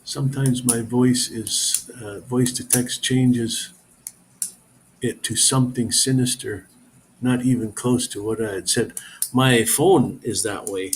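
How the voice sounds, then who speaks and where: A man's voice: medium pitch, fairly even intonation, slow, slight background noise, low-quality recording. A middle-aged man hosting a casual live stream, rambling as he reads viewer comments aloud and answers them.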